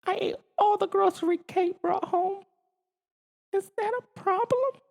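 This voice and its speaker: Very squeaky, brash little alien. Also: male voice, a little slow, creaky, a little mellow, full character voice. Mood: brash, cheerful. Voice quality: very high, squeaky. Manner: exclamatory, complaining. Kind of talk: children's storybook character voice.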